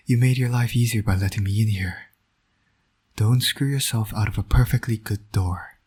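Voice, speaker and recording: A man acts out a roguish male supervillain who is also a doting dad. The voice is very husky and breathy, sitting a little low. He speaks in a wry, flirtatious, teasing way, as in an intimate audio drama. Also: fairly even intonation, moderate pace, a little mellow. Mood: teasing persuasion. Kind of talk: intimate scripted audio roleplay.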